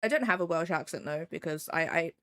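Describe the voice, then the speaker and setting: Young english woman on stream, bit low, dry sound, chatty and self-deprecating, faint midlands twang. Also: fast, pushed and bright, dry recording. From England. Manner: chatty, rambling, self-deprecating, reads chat aloud. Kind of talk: casual live-stream chat while drawing.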